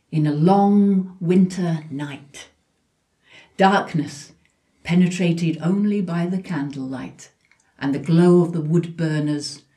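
A narrator setting the scene for an audio play in a measured, atmospheric storytelling manner. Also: mid-range voice, warm tone.

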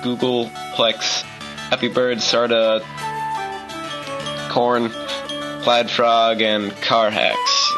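A man's voice: mid-range voice, low-quality recording. Guy doing a casual podcast segment, guitar music playing behind him. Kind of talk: casual quiz questions and shout-outs.

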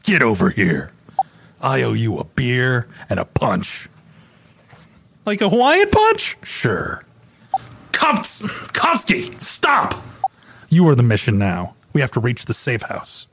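Gravelly man goofing between takes. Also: medium pitch, fairly bright tone. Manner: playful, jokey, swings between takes and banter. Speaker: voice actor.

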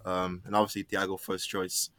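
A young man from Cameroon, raised in the United States, calling in to a casual football chat and talking in halting bursts full of fillers. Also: deep voice, monotone, a bit bright, no room sound. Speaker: caller.

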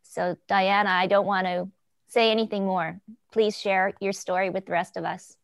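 A woman's voice: medium pitch, fairly even intonation, normal speed, gravelly, clean tone, dry recording. A woman hosting a group video chat in a conversational, somewhat rambling way, circling back mid-sentence. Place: remote video call.

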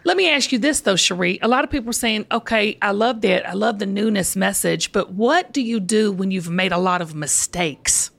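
A woman podcast host chatting casually and heartfelt with a guest, expressive and confiding, with emphatic affirmations. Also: mid-range voice, normal speed, gravelly, few pauses. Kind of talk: casual heartfelt interview chat.

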